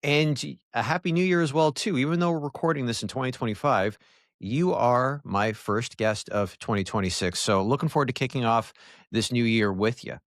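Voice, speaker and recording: A man's voice: medium pitch, moderate pace, dry recording, clean studio sound. Male podcast host, friendly. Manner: friendly, guiding questions. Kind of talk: interview hosting.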